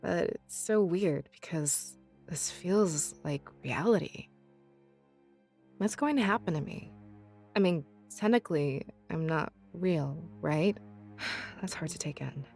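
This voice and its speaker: A woman's voice: low-pitched, slow, gravelly, a little mellow, faint music behind, exaggerated voice. A woman voicing an earnest young seer, devoted to the spirits, in a visual novel game, explaining things with assurance. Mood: unsettled, wondering.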